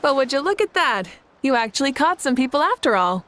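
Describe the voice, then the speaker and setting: Confident, composed woman leader, fairly high. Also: sing-song, brisk, a bit bright, a little hiss or hum. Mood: amused. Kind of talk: video game character dialogue. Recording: faint music behind the voice.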